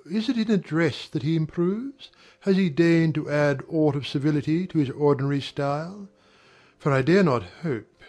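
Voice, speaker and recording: A man playing a charming, insinuating young army officer with formal, smooth, probing speech in a dramatized period audiobook. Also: fairly high pitch, moderate pace, a little mellow, faint music behind, natural voice. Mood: sardonic.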